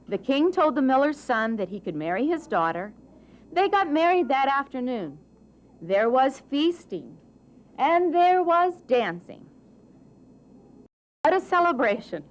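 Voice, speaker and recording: Higher-voiced woman telling a children's story. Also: very animated, slow, long pauses. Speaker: narrator and presenter of a puppet show. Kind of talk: expressive children's storybook narration.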